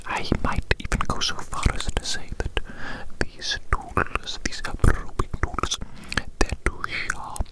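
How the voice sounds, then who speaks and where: A performer whispering an ASMR roleplay as a doting evil mad scientist, breathy, fussy and coaxing, repeating phrases slowly. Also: lightly acted, low-quality recording. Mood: reassuring, pedantic. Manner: slow, repetitive, fussy, coaxing.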